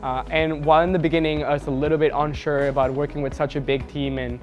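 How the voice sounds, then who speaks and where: Young man from Mexico City, fairly high voice. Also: fast talker, faint music behind. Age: young adult. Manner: relaxed, with frequent "uh" fillers. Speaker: university student. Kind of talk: testimonial to camera.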